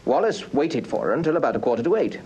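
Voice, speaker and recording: An actor playing a brisk, businesslike police inspector in a radio crime drama, with a British accent and methodical, matter-of-fact delivery. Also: male voice, mid-range voice, very animated, a little mellow, slight background noise, close to an everyday voice. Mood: factual, methodical. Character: brisk, businesslike male police inspector, deferential to his chief. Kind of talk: full-cast radio crime drama acting.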